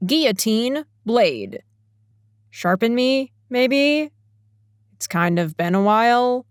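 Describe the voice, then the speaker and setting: A woman's voice: mid-range voice, no room sound, clean studio sound. A woman hosting a podcast, reading scripted announcements in a playful way and addressing listeners directly. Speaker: podcast writer and director, host.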